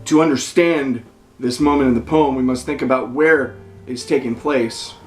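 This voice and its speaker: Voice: mid-range voice, steady tone, moderate pace, darkish tone. Mock-serious comic reader in an echoey room. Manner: mock-serious, lecturing, indignant asides. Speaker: performer in a dramatic poem reading. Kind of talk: poem recital with comic commentary. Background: jazzy Christmas music.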